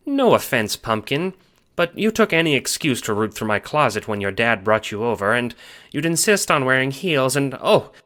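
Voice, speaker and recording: A man voicing a stern yet warm old grandmother who teases fondly in an audio drama. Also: medium pitch, big pitch swings, normal speed, pitched above the performer's own voice. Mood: amused, teasing. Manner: fussy, affectionate, hooting pet-name talk. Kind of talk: audio drama character acting.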